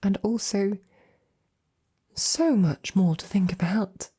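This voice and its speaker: A woman playing a loving, flustered lady knight in an intimate roleplay audio drama, speaking softly and haltingly with tender, teasing affection. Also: mid-range voice. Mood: flustered, curious. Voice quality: soft, breathy, husky. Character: loving, flustered female royal knight courting a princess.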